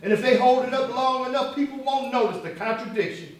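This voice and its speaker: A very echoey, distant stage recording carries a male actor as a fiery male activist leader. His voice is high for a man, and he argues with defiant, sardonic emphasis, building his points like an orator. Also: quick pace. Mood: grave, impassioned. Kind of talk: theatrical stage acting, rhetorical speeches. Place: stage performance, recorded from far off.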